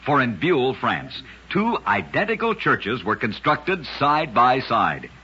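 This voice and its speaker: A man narrating scripted oddities as an old-time radio host, with a dramatic, emphatic delivery and deliberate pauses. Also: mid-range voice, big pitch swings, pushed and bright, slight background noise. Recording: dull, lo-fi old radio recording.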